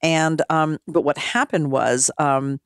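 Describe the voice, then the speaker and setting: A woman, a former mayor, telling her story as a podcast guest in an animated way, often acting out what people said. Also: low voice, takes it slowly, smooth, dry recording, studio quality. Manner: animated, acts out quoted dialogue. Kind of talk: storytelling conversation.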